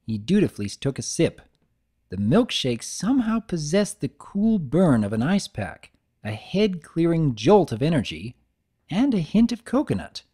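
A man's voice: on the high side, very animated, normal speed, warm tone, breathy edge. A man reading a story aloud as narrator, in a dramatic storytelling style.

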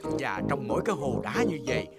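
A man voicing an older, playful grandfather pig in a children's cartoon dub, expressive and theatrical. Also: medium pitch, a little fast, gravelly, over loud music. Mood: explaining warmly. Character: cheerful, playful grandfather pig, hammy and dramatic. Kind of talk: Vietnamese-dubbed children's cartoon acting.

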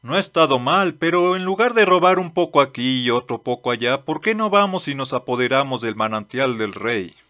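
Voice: medium pitch, expressive, brisk, few pauses, a bit bright, close to an everyday voice. A man voicing a scheming older monster companion in a manga dub, theatrical and explanatory. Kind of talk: Spanish manga dub acting.

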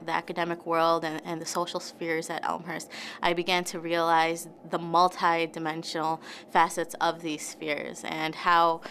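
A woman's voice: on the low side, vocal fry, fairly bright tone, slight background noise. A young woman, a college student giving an interview testimonial. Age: young adult. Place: indoor studio.

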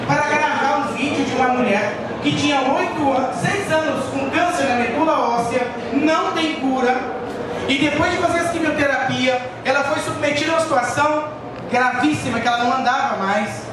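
A man's voice: very high voice, brisk. A man giving an earnest presentation on a conference stage. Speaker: company representative. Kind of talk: presentation speech. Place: large conference hall stage. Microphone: handheld mic, far camera. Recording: echoey hall from far off, noisy.

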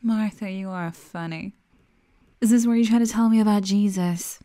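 A woman's voice: medium pitch, normal speed, dark tone, natural voice. A woman playing a remorseless, sarcastic criminally insane asylum patient in a dramatic audio roleplay, theatrical and taunting. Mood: mocking. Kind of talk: ASMR-style dramatic monologue roleplay.

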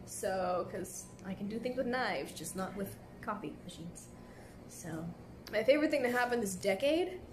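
A woman's voice: medium pitch, animated, normal speed, background noise. A young woman casually chatting on a live stream from a café, talking into a phone held at arm's length and answering viewer comments in a laid-back way full of "like". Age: young adult. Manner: chatty, laid-back, heavy use of "like" fillers. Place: indoor café at night.

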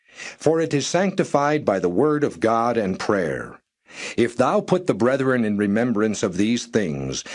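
A man narrating scripture in a measured, solemn and formal reading style. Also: mid-range voice. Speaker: Bible narrator.

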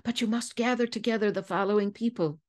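A woman's voice: mid-range voice, fairly even intonation, quick pace, voice pushed up, lightly acted. A woman voicing a confident, clever young woman detective in an audiobook story, articulate, exclamatory and commanding. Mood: eager.

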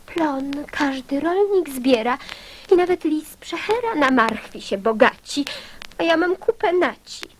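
A woman's voice: high voice, lively intonation, measured pace, a little hiss or hum. A woman playing a gullible, plaintive swallow in a dramatized children's verse, speaking expressively.

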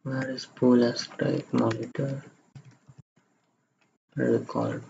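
A man narrating a step-by-step software screencast in a halting, plain-spoken way. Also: medium pitch, flat delivery, vocal fry. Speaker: tutorial presenter. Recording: muffled lo-fi microphone, faint background noise.

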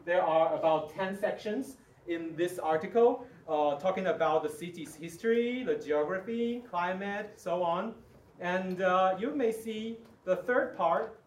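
A high-voiced man with a Mandarin accent presents at a workshop. He speaks slowly and hesitantly, picked up from across an echoey room. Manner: halting, hesitant delivery. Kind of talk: live demonstration talk to an audience. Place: large conference room, distant camera without microphone. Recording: echoey room, far from the microphone.

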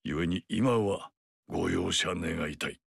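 An actor playing a dignified samurai general in a video game, speaking with formal, measured courtesy. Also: male voice, deep voice, creaky, husky, dry recording, studio quality, heavily acted. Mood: earnest. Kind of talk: video game story dialogue.

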